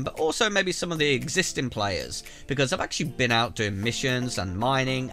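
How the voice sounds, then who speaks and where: A man sounding bunged up from a cold, talking viewers through a strategy game in a chatty, direct way. His voice is bright and forward, with faint music playing underneath. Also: medium pitch. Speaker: gaming YouTuber. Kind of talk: casual game tutorial narration. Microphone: voice-over over gameplay capture.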